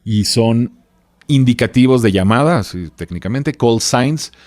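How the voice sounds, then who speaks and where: A Mexican man co-hosting a podcast, talking informally and haltingly as he shares facts and checks for agreement. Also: mid-range voice, animated, a little mellow, no echo. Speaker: podcast co-host. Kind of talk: casual chat, loosely reading out researched facts.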